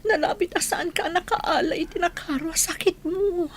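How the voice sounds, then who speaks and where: A woman's voice: very high voice, steady tone, normal speed, slight background noise. A woman playing a worried, devoted wife in an Ilocano radio drama, pleading and fretful. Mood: grieving, pleading.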